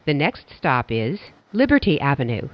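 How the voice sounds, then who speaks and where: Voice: medium pitch, big pitch swings, moderate pace, highs cut off. A subway train announcer reading scripted transit announcements in formal, clipped stock phrases.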